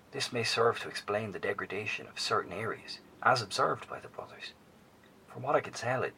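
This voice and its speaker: A man plays a grave, formal professor broadcasting to survivors from a bunker in a horror audio drama. His voice sits low but sounds bright and forceful, and a faint noise runs underneath. He talks in a measured way and often sinks to a hush. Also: poor audio. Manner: measured, formal, hushed at times.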